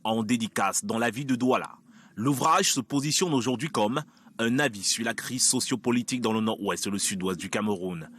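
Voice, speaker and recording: A man reporter reading a scripted news voice-over in steady reporter narration. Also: mid-range voice, fairly quick, slightly rough, no echo.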